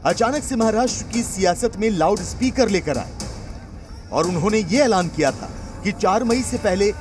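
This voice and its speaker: A man's voice: sits high, lively intonation, moderate pace. A man reading a scripted news report as voice-over, with an emphatic, dramatic delivery. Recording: voice-over with music bed.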